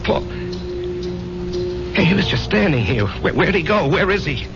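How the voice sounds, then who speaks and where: A weary, haunted American ex-soldier in his thirties in an old radio drama. His low voice moves expressively and haltingly. The broadcast sound is muffled, with music behind it. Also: big pitch swings, creaky. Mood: shaken, insistent. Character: haunted thirtyish war veteran turned weary salesman. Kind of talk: 1970s radio drama acting.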